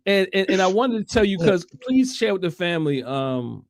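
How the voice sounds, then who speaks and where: Higher-voiced American man hosting, close dry sound. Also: very animated, no room sound. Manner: halting, repeats phrases for emphasis. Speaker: online show host. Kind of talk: casual livestream discussion.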